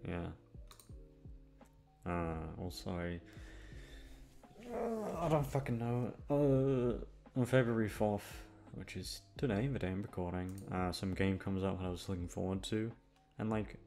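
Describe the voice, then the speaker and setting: A young man talks casually to his listeners in a low, gravelly voice. He rambles hesitantly and puts himself down, swearing a little, with faint music behind him. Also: darkish tone. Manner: hesitant, self-deprecating, mildly sweary. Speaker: audio roleplay creator.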